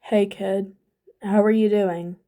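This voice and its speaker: A woman playing a weary male teacher who talks gently but firmly. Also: mid-range voice, fairly even intonation, slow, natural voice. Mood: gentle, concerned.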